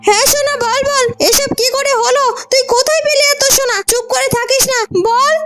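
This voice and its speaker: Spoiled village girl for cartoon: tiny sky-high voice, grasping, pushy, whiny. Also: steady tone, fairly quick, loud music behind the voice. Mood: nosy, insistent. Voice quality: very high, girlish voice. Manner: demanding, insistent, whiny. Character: greedy, bossy, spiteful young village girl. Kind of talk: children's fairy-tale cartoon dubbing.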